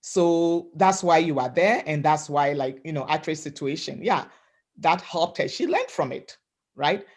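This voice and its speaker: A woman from Africa speaking on a webinar panel, giving an emphatic motivational talk in a loud voice over a video call and often asking "right?". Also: deep voice, animated, a little slow. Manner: loud voice, emphatic, repeats "right?" and "you know".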